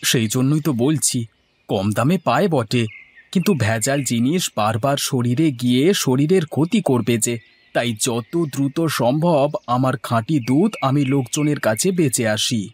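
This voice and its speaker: A man's voice: mid-range voice, moderate pace, breathy edge, close to an everyday voice. A man playing an honest, affable village milkman in a cartoon. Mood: earnest, hurried. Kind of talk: scripted cartoon dialogue.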